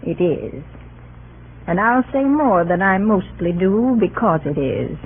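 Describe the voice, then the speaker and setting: An actress playing a proud, sharp-tongued, devoted wife of a politician in an earnest, theatrical old radio drama style. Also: female voice, lowish voice, big pitch swings, slow pace, a little hiss or hum, heavily acted. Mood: proud, reflective. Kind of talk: 1940s radio acting. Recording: muffled, band-limited old radio recording.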